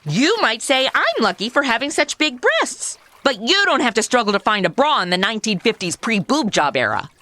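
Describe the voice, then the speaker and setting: A woman's voice: on the high side, very animated, brisk, hardly any pauses, sharp tone, no room sound. An actress playing a sassy, outspoken modern woman, sarcastic and indignant, in a comedy audio drama. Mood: flippant.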